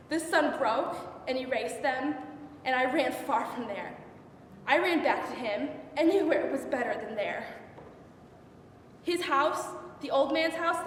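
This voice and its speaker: A young woman playing an anguished biblical concubine in a dramatic stage monologue, with halting, repetitive, intense delivery. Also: high-pitched. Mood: desperate, anguished. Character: anguished young unnamed biblical concubine recounting her ordeal. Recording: echoey hall from far off, faint noise.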